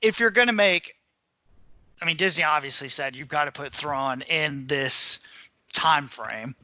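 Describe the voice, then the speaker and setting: A man chatting casually about books as a podcast panelist, in a measured and tentative way. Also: a little high, bright, forceful tone, no room sound. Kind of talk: casual book discussion.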